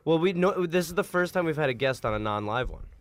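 Male podcast host, jokey and profane, animated delivery with a slightly higher pitch. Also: fast talker, dry recording. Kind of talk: casual comic chat.

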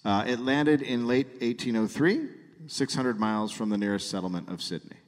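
A man hosting a live comedy podcast, telling a story in a halting way with repeated phrases. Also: medium pitch. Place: live show in a hall. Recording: live hall reverb.

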